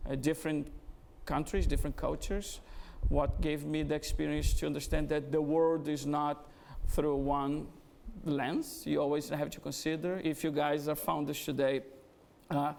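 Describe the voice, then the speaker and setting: Gravelly Brazilian man, halting speech. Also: fairly high pitch, darkish tone. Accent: Brazilian Portuguese. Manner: hesitant, with frequent "uh" fillers. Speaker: guest speaker, business executive. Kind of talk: conversational fireside interview. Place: event venue before an audience.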